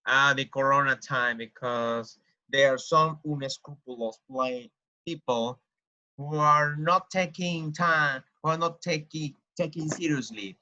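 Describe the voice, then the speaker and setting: A man taking part in a webinar over a video call, speaking haltingly and repeating phrases. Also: mid-range voice, bright, forceful tone. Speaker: webinar participant. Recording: muffled video call audio.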